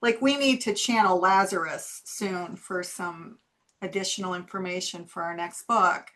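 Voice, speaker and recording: A woman's voice: lowish voice, a little slow, a bit bright. A woman guest on a spiritual talk show, chatty and agreeable as she explains channeling. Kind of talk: casual interview chat.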